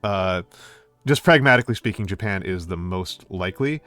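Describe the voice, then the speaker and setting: Hesitant low-voiced man chatting on stream. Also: animated, moderate pace, a bit bright, faint music behind. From United States. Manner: hesitant, many fillers and restarts. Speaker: VTuber streamer. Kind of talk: casual chat with stream viewers.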